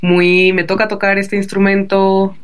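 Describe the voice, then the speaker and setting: A woman's voice: mid-range voice, completely flat delivery, unhurried, smooth. A woman with a Caribbean Colombian accent, talking casually as an interview guest, explaining things discursively with frequent tag questions. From Barranquilla, Caribbean coast of Colombia. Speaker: guest novelist.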